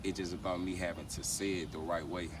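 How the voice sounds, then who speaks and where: A man's voice: low-pitched, little pitch movement, fairly quick. An American man, a rapper being interviewed, chatting casually with heavy slang and frequent verbal tags. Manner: slangy, repeats verbal tags like "you know what I'm saying". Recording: noisy, distant mic, outdoor street ambience.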